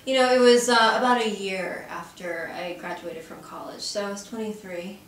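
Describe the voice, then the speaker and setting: A woman's voice: mid-range voice, moderate pace, slight background noise. A young woman who grew up in Arizona, chatting as a guest about her career with lots of fillers and easy laughter, in her office. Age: young adult. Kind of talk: casual interview conversation. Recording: very echoey office from far off.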